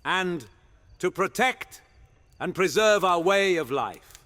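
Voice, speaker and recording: A man's voice: high-pitched, animated, slow pace, sharp tone, exaggerated voice. A man playing a roguish, irreverent monster hunter knight in a fantasy audio roleplay, talking in a theatrical, conspiratorial way. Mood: reverent, proud. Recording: long hall reverb under music.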